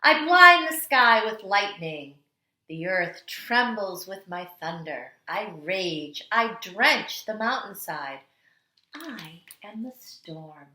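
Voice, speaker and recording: Female kindergarten teacher for picture-book read-aloud: bright, slow, expressive and dramatic, recorded in a roomy, echoey space. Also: medium pitch. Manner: dramatic, deliberate delivery with strong swells and hushed endings.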